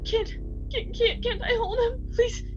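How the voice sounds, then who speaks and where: A woman playing an anguished young mother in a radio drama, crying and pleading in halting, repeated words. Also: very high voice, steady tone, faint music behind. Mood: desperate, pleading. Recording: dull, clearly noisy recording.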